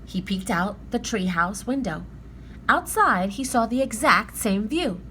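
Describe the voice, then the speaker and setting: A woman narrating a children's story aloud in an expressive read-aloud style. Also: mid-range voice, unhurried, slight background noise.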